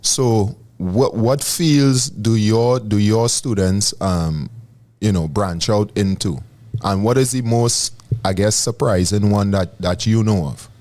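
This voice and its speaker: A man hosting a radio interview, asking slow questions with many pauses and restarts. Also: lowish voice, a little mellow. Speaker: radio host.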